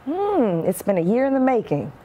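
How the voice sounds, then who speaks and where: A woman's voice: fairly high pitch, huge pitch swings, moderate pace, background noise. A woman hosting an interview in a conversational, encouraging way. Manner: affirming, encouraging. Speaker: interview host. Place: furnished sitting-room set indoors.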